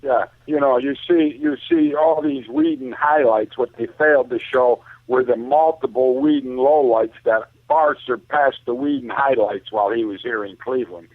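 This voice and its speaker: A man's voice: mid-range voice, lively intonation, moderate pace, gravelly, hardly any pauses, no room sound. A man talking sports casually over the telephone to a radio show, colloquial and rambling. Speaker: call-in guest on sports talk show. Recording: narrow, tinny phone line.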